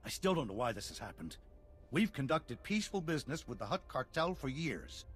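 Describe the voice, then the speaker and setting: An actor playing a dignified, worried middle-aged colonial leader in a video game, speaking formally and earnestly. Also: male voice, medium pitch, very animated, fairly quick, over music, close to an everyday voice. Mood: troubled. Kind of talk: video game cutscene acting.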